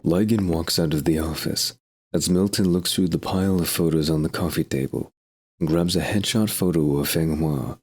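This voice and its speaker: A man with a husky voice reading scripted narration for an audio drama. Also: deep voice, normal speed, a little mellow.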